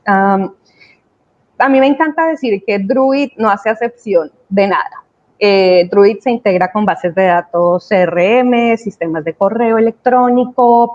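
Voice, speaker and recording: A woman's voice: mid-range voice, darkish tone. A woman giving a conversational company presentation, explaining things with emphasis. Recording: dull lo-fi video-call audio.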